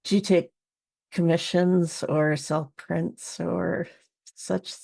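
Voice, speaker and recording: A woman's voice: fairly low pitch, flat delivery, slow pace, gravelly, mellow. A woman hosting a podcast in a casual interview style. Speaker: podcast host.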